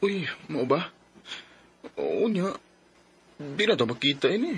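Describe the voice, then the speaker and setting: An actor playing a shy, lovestruck young sweetheart in a Cebuano radio drama, with a Filipino accent. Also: male voice, a little high, big pitch swings, slow, a little hiss or hum, exaggerated voice. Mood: earnest. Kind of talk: Bisaya radio drama acting.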